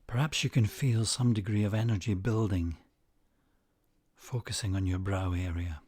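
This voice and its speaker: A man narrating a guided meditation in a measured way, leaving long pauses between short phrases. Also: lowish voice, a little mellow, slightly rough, no room sound.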